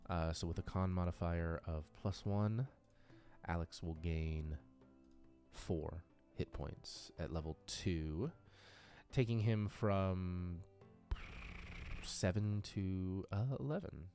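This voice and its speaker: A man's voice: low-pitched, slow pace, mellow, faint music behind. A man giving casual, unscripted game master commentary as he works through tabletop character sheets, now and then murmuring little nonsense sounds to himself. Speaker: creator and game master of a tabletop RPG audio drama.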